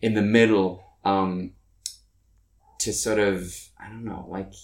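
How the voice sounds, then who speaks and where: A man's voice: sits low, steady tone, slow pace. A man, a musician chatting in an interview, rambling with frequent restarts. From Norway. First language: Norwegian. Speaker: musician guest. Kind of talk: casual interview chat.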